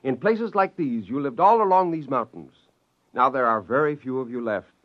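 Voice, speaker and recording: An actor playing an excitable scientist father who narrates and acts in an old radio drama. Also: mid-range voice, moderate pace, natural voice. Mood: excited, coaxing. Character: excitable, gleeful scientist father narrating his secret creation. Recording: dull lo-fi old radio, music underneath.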